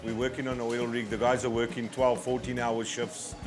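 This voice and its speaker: A man's voice: mid-range voice, level tone, fairly quick. A man who works as a chef, explaining his kitchen in a plain-spoken, practical way. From South Africa. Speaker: ship's chef. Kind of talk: casual explanation to camera. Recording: music under the voice. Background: music.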